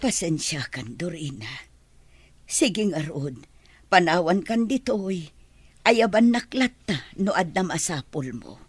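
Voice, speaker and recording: A humble household maid with an Ilocano accent in a radio drama. Her voice is husky and sounds dry and close. She speaks meekly and keeps apologizing. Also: on the low side, expressive, slow, no room sound, heavily acted. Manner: meek, apologetic.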